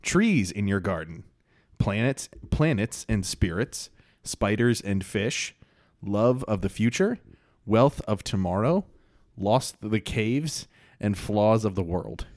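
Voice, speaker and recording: Laid-back podcast host, a man with a lowish voice, loose and rambling, recorded dry. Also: expressive, slow, a little mellow, no echo. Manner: laid-back, rambling with fillers and frequent laughs. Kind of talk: casual chat.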